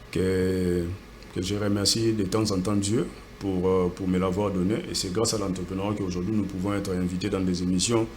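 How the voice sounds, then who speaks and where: A man's voice: sits low, a little hiss or hum. A man from Congo, a guest entrepreneur, answering earnestly in a TV studio interview and often repeating words as he searches for them.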